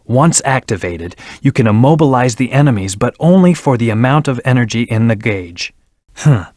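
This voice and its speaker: Man as stern video game hedgehog antihero, brooding, terse, cool and controlled, mid-pitched, very dry close sound. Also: animated, moderate pace, darkish tone, no echo, lightly acted. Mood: cool, instructive. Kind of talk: video game voice acting, scripted hints and battle lines.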